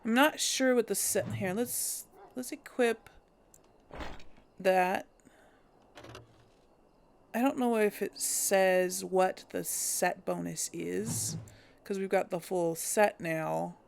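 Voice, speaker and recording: A woman commentating on her own gameplay in a casual way, mulling things over aloud and often restarting her sentences. She talks slowly, with a gravelly fry in her voice. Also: medium pitch. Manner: thinking aloud, hesitant. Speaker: let's play host.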